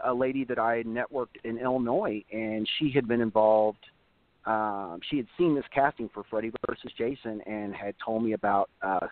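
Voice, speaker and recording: A slightly hoarse young man, an actor, chatting casually in an interview, rambling with many fillers and restarts. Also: mid-range voice, dry recording. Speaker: actor and voice actor guest. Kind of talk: casual interview over the phone. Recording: tinny phone line, dry.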